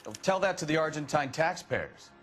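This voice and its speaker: An actor playing a sardonic, plain-spoken American police detective in a video game, wry and sarcastic. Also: male voice, fairly high pitch, animated, moderate pace, a bit bright, soft background music. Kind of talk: video game cutscene acting.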